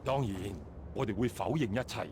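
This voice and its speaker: A man's voice: medium pitch, fairly quick, a little mellow, a little hiss or hum, lightly acted. An actor playing a dutiful Chinese army captain in a video game, deferential and assuring as he speaks. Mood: confident, dismissive. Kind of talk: scripted video game dialogue.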